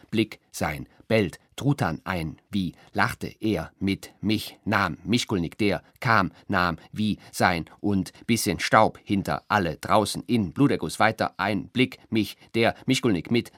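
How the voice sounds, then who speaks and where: A man who recites dreamlike radio-drama poetry in a low voice. He is very unhurried and deliberate, setting words apart in fragments, and the sound is close and dry. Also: a bit bright, dry recording.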